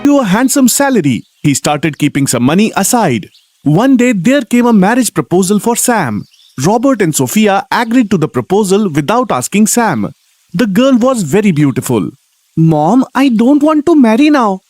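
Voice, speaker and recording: A woman's voice: medium pitch, very animated, moderate pace, darkish tone, no echo. A woman reading scripted moral story narration in simple, short storybook sentences.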